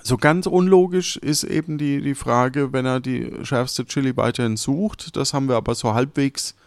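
A man hosting a podcast, recapping in a casual, halting and rambling conversational way with plenty of agreeing. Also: medium pitch, dry recording, studio quality. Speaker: podcast host recapping an improvised game.

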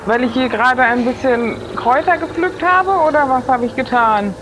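A woman's voice: sits high, moderate pace, noisy background. A woman improvising in character as a player in a tabletop role-playing game. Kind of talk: improvised in-character chat and play.

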